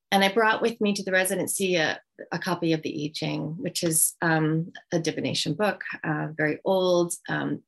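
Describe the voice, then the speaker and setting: Low, gravelly-voiced woman author, halting. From United States. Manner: thoughtful, halting, many ums. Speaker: author guest. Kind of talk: reflective interview answer.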